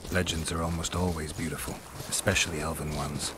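A world-weary monster hunter in a video game. The voice is extremely deep and gruff, delivered in a terse deadpan. Rain and ambient noise run clearly underneath. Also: male voice, brisk. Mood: dry, brooding. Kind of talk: video game dramatic dialogue.

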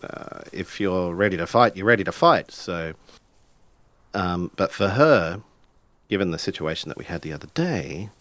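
A man improvising dialogue and narration in a tabletop roleplaying game, haltingly searching for words. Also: low voice, lively intonation, a bit bright, no room sound.

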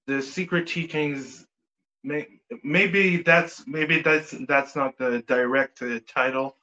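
Slow halting Israeli man, lo-fi. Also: fairly high pitch, steady tone, bright, forceful tone. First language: Hebrew. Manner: halting, many restarts and fillers. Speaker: guest. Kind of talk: casual interview chat.

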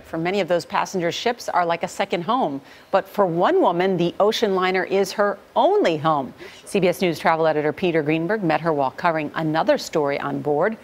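A woman anchoring a morning news show, reading a crisp scripted intro. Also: on the low side. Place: TV news studio.

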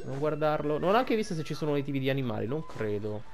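A man's voice: on the high side, sing-song, quick pace. A man giving casual, colloquial game commentary, rambling and thinking aloud. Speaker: gameplay video creator. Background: game music.

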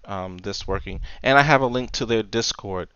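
A man giving a casual software tutorial, explaining things step by step, close on the mic in a voice-over recording. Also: on the low side, normal speed, smooth, no echo, highs cut off. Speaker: tutorial presenter.

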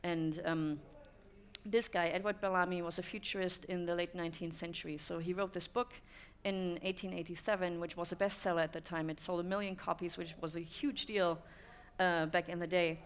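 A woman's voice: lowish voice, fairly even intonation, a little fast. German woman giving a talk. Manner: thoughtful, frequent "um" fillers. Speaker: speaker. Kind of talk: conference talk. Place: dim stone-walled room with audience.

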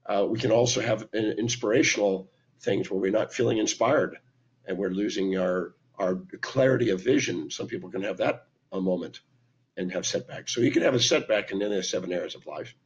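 An older man with a hoarse, raspy voice from laryngitis, giving an emphatic teaching talk to a webcam. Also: fairly low pitch, brisk. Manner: emphatic, lecturing, lists points with hand gestures. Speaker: speaker and teacher. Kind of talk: talking to camera, teaching talk. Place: indoor room at home. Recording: dull lo-fi webcam sound.

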